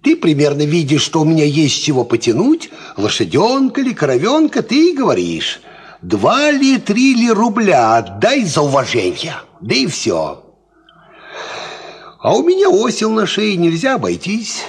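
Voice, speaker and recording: An actor playing a worldly, cocksure peasant farmhand, explaining things in folksy fashion in a 1950s Soviet radio play. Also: male voice, on the high side, measured pace, breathy edge, exaggerated voice. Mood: confident explaining. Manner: folksy, animated, instructive.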